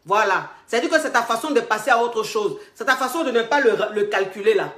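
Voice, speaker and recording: A woman's voice: fairly high pitch, lively intonation, fairly quick, a bit bright, echoey room. A woman with an Ivorian accent giving relationship advice straight to camera. She talks emphatically, repeats herself and calls viewers darlings, and she has a cold with a sore throat. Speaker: relationship coach. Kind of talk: direct-to-camera advice monologue.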